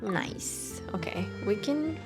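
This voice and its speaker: A woman's voice: medium pitch, sing-song, slow. A woman game streamer giving casual live commentary, chatty and reactive. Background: game music.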